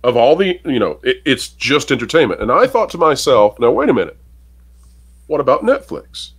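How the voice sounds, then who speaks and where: A man's voice: mid-range voice, big pitch swings, normal speed, a bit bright, husky. A man co-hosting a casual commentary show, reading out an article and giving emphatic opinions to viewers. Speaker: podcast co-host.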